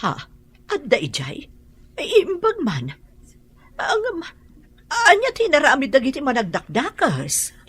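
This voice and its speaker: A woman's voice: high-pitched, wildly swooping pitch, takes it slowly, long pauses, fairly bright tone, heavily acted. A woman with a Filipino accent playing an anxious, motherly older woman in a radio drama. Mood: concerned.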